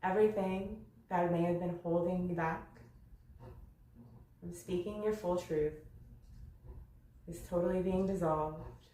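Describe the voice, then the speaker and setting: A woman leading a guided yoga meditation, speaking gently in short, unhurried phrases with long pauses between them. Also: fairly low pitch, steady tone. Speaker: yoga nidra meditation instructor. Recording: roomy echo with faint background noise.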